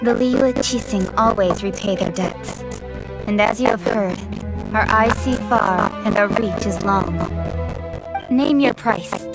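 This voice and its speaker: Slow, commanding noblewoman. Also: mid-range voice, poor audio. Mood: firm, assured. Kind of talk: video game dialogue. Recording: loud game music behind the voice.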